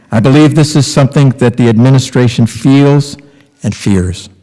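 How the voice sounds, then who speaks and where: A man's voice: medium pitch, dark tone. An older man in his sixties reading scripted testimony aloud from a lectern. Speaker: readers theater performer. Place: temple sanctuary at a lectern. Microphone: boom mic on a stand at lectern. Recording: echoey hall with long reverb.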